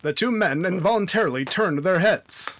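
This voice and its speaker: A man's voice: high-pitched, animated, normal speed, a bit bright, dull audio. A man playing the announcer of an old-time radio adventure, narrating with urgent, emphatic drama. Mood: suspenseful.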